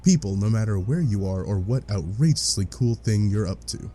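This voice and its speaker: A man's voice: fairly low pitch, expressive, normal speed, mellow, close to an everyday voice. A man playing a wry, theatrical narrator in a fan-dubbed webcomic, with an arch scripted delivery. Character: deadpan, wry omniscient game-style narrator. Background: music.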